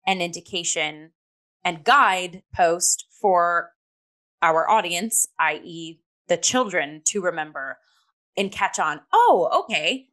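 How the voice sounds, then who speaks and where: A woman in casual review discussion, with a bright, crisp-edged voice set a little low. She speaks emphatically and reflectively, building her thoughts as a podcast co-host. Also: lively intonation, takes it slowly.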